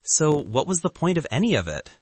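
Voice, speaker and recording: A man's voice: on the high side, big pitch swings, fairly quick, darkish tone, husky, no room sound, voice pushed up. A man voicing a heartbroken, principled young male student in an audiobook, earnest and brooding. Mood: despairing.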